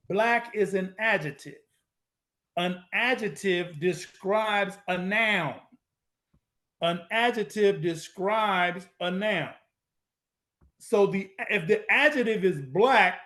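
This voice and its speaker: High-voiced man lecturing emphatically. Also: slow pace, pauses often, bright, forceful tone. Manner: emphatic, repeats points, rhetorical questions; street-style informal grammar. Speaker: lecturer on Moorish American history. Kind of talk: preaching lecture to viewers.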